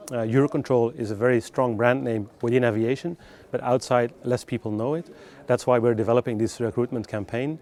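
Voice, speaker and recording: A man giving explanatory interview answers as a recruitment specialist, with measured, hesitant phrasing in non-native English. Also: medium pitch, a little mellow.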